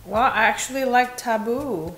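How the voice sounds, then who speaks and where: A young woman from Indonesia reviewing perfumes in casual, candid chat, laughing at herself, filmed in a small bright room. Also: on the high side, moderate pace, reverberant, rough recording. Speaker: beauty vlogger.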